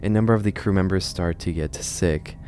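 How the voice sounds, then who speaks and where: Very deep-voiced man narrating a video essay. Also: brisk, darkish tone, a little hiss or hum. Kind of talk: video essay commentary on a horror series.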